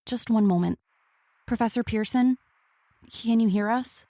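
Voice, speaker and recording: A woman's voice: on the high side, a little slow, dark tone, no room sound. A woman playing a composed radio news announcer reading grave bulletins in a 1930s radio drama style. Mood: grave, urgent.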